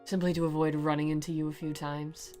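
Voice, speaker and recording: A woman's voice: low voice, flat delivery, brisk, dark tone, faint music behind, natural voice. Woman acting a cold, detached young ex-girlfriend. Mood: cold, indifferent. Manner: detached, dry, drawling. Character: young woman ex, cold, flat, indifferent, quietly mocking.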